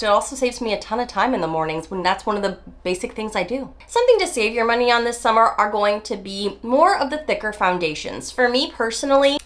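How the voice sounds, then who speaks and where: Beauty vlogger, woman in her 40s, a bit nasal from a cold, chatty and emphatic, highish voice. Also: fairly bright tone. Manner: chatty, emphatic, drawn-out stresses. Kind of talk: casual talk to camera.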